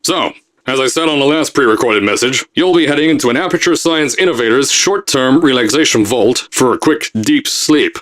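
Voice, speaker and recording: A man's voice: medium pitch, expressive, fairly quick, close to an everyday voice. An actor playing a brash, blustering male company boss recording messages for test subjects in a game. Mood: boastful. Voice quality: loud, boastful salesman bluster. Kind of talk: pre-recorded announcement messages.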